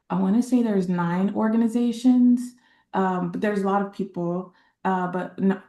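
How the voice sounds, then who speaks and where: A woman from Texas, a community organizer speaking on a webinar panel in a halting way, with many fillers and pauses. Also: fairly low pitch, vocal fry, mellow, roomy sound. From San Antonio, Texas.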